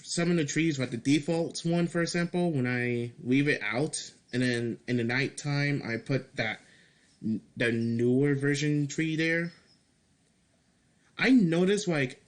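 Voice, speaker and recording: A man giving casual devlog commentary as a game developer, speaking haltingly with repeated words and mild swearing. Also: mid-range voice. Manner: halting, repeats and restarts phrases. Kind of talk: casual screen-recorded commentary, reading and answering feedback.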